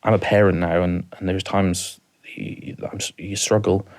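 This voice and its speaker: A man with a Welsh accent from rural mid Wales, in his thirties, telling a painful personal story as a podcast guest, halting and repeating words as he goes. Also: sits low, flat delivery, darkish tone.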